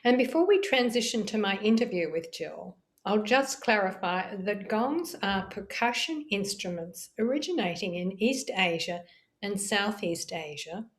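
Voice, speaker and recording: A woman hosting an interview podcast, reading a scripted introduction and asking questions in a clear, measured way. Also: mid-range voice. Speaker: podcast host.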